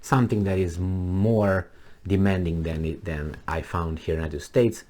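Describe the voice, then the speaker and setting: Low-voiced man, halting. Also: fairly even intonation, dark tone. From Romania. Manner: halting, many fillers and restarts. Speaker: vlogger. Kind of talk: casual monologue to camera.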